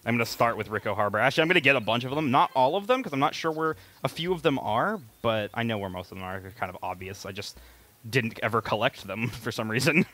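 A man's voice: mid-range voice, expressive, fast, sharp tone. A man co-hosting a gaming video, bantering casually in a giggly, mildly exasperated way. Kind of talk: casual banter over gameplay. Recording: game music mixed behind the voice. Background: video game audio.

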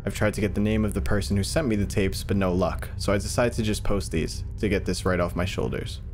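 A man's voice: on the low side, steady tone, brisk, darkish tone, soft background music. A man in his twenties hosting reaction videos with casual commentary, close on a desk microphone. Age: 20s. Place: small furnished room indoors.

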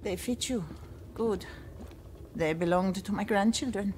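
An actress playing a kindly old village woman in a game cutscene, speaking gently and wearily. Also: mid-range voice, unhurried, a little hiss or hum, lightly acted. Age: older. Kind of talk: video game cutscene acting.

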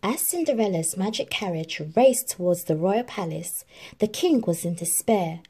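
A woman reading a children's storybook aloud in an expressive, animated narrating style. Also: medium pitch, moderate pace, breathy edge. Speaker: storybook reader.

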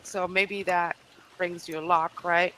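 A woman's voice: on the low side, little pitch movement, slow, a bit bright, poor audio. A woman from Belgium with a light Belgian French accent, chatting and laughing while playing a game on a livestream and greeting viewers. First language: Dutch, French and German. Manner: giggly, chatty, reads out viewer comments. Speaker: livestream co-player. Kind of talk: casual gaming chat.

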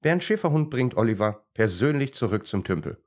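A man's voice: mid-range voice, very animated, fairly quick. A man narrating a scripted story for children as a storyteller.

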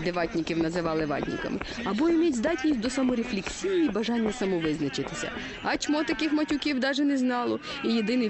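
A man narrating a satirical cartoon tale in a colloquial, ironic, mock fairy-tale manner. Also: high-pitched. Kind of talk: scripted satirical storytelling for a cartoon. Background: music.

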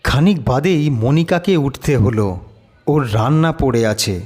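A man narrating a scripted horror story in a dramatic, measured, suspenseful way. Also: mid-range voice, a little mellow.

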